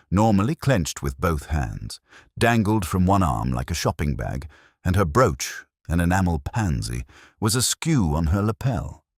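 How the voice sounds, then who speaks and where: Very deep male mystery narrator. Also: slightly rough, no echo, studio quality. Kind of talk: scripted story narration of a village mystery.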